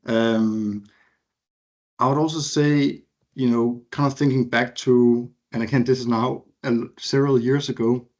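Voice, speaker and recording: A man's voice: medium pitch, steady tone, measured pace. A man from Denmark in his thirties, reminiscing casually on a video call, hesitant with many fillers and restarts. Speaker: alumni speaker. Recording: muffled video call audio.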